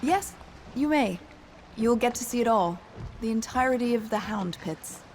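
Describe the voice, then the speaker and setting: An actress playing a kindly, proper governess in a video game cutscene, gentle, formal and polite. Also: female voice, fairly high pitch, expressive, normal speed, slight background noise, natural voice. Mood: welcoming.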